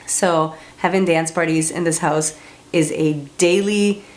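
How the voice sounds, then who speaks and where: A woman's voice: fairly low pitch, fairly even intonation, unhurried. Chatty upbeat woman from Colombia. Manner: upbeat and chatty, loose with filler words. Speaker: parenting vlogger. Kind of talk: casual chat to camera.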